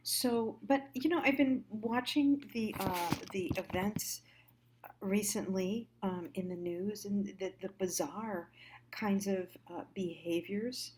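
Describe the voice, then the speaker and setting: A woman speaks in a casual, reflective monologue at a middling pitch. She muses conversationally, often halting and letting thoughts trail before picking them up again, as the host of a storytelling series. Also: darkish tone, slight background noise, rough recording.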